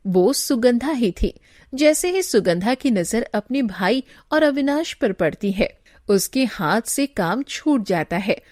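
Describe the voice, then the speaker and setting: A woman reads a scripted story as narrator. Her voice sits a little high and she takes her time over the words. The sound is very dry, without room echo. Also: animated, takes it slowly, darkish tone.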